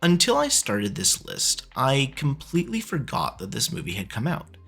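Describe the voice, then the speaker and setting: A man's voice: mid-range voice, huge pitch swings, moderate pace, gravelly, fairly bright tone, breathy edge. A man narrating a scripted video review in a casual, opinionated way. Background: background music.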